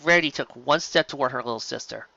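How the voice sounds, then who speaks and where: An expressive narrator reading scripted story fiction into a close microphone, on a slightly dull home recording. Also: mid-range voice, fast talker, creaky, dry recording. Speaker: fan fiction audiobook narrator.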